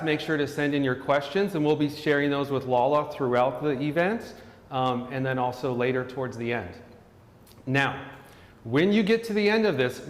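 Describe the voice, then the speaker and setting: A middle-aged man with a fairly high voice hosts a live-streamed hair class with upbeat, promotional energy. He is recorded in a roomy studio whose sound rings out with a long reverb. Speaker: host.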